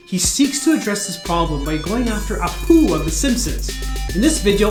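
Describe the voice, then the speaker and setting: A man in his thirties delivering scripted commentary as a video channel host. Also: high voice. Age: 30s. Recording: roomy echo with music behind. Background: background music.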